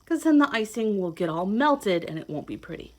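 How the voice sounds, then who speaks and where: A woman reading a children's picture book aloud in a playful, expressive, sing-song way, adding simple asides to her young listeners. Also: medium pitch, brisk. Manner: simple child-directed explaining. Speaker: children's read-aloud host, teacher.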